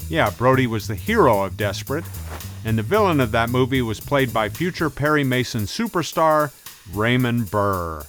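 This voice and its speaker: A man's voice: medium pitch, big pitch swings, moderate pace, fairly bright tone, faint music behind. A man hosting a scripted video essay in a conversational style, as a film commentator.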